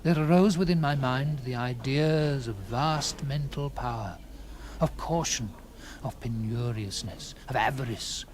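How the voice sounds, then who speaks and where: A man narrating a gothic story in the first person, with theatrical, deliberate phrasing and dramatic pauses. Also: mid-range voice, a little hiss or hum.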